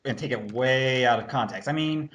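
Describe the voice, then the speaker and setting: A man's voice: mid-range voice, normal speed, a bit bright. A man chatting casually as a co-host, agreeable and somewhat hesitant in the way he talks. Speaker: online commentary co-host. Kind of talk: casual chat.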